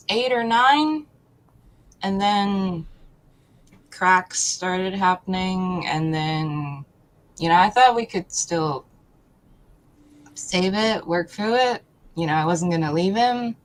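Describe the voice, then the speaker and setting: A woman, answering casually and haltingly as a talk show guest. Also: medium pitch, rough recording. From Poland. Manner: hesitant, halting.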